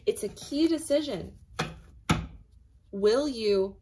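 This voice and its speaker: A woman with a slightly raspy voice, casually chatting through a tarot reading as the host of her own channel, full of fillers and emphatic asides. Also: on the high side, lively intonation, takes it slowly, noisy background.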